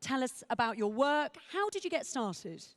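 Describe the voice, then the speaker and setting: A woman's voice: sits high, very animated, normal speed, no room sound, clean studio sound. A woman presenting a live broadcast from a fan zone, welcoming her guests with light teasing. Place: outdoor fan zone in a city square.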